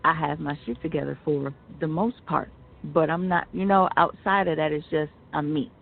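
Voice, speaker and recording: An American woman with a creaky voice chatting bluntly and slangily as a radio co-host, giving her opinions. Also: deep voice, steady tone, normal speed, slight background noise. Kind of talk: casual opinionated chat. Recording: narrow tinny phone-like line.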